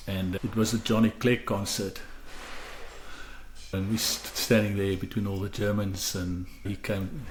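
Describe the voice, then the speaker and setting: Reflective South African man, echoey room. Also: sits low. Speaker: podcast co-host. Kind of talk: reflective personal storytelling. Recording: echoey room with faint background noise.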